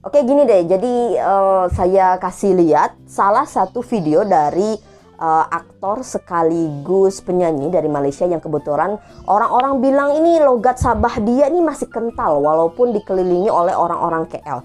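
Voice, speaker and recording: A woman's voice: mid-range voice, lively intonation, moderate pace, darkish tone. A woman presenting a casual explainer vlog in a conversational way, posing questions and answering them. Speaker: video presenter. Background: music.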